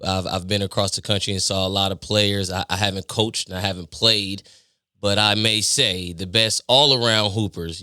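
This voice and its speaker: A male podcast host from Indiana speaks in a fairly low voice with a bright, forceful edge. The sound is dry and close, and he talks in a relaxed, agreeable way. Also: no room sound. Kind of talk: casual interview.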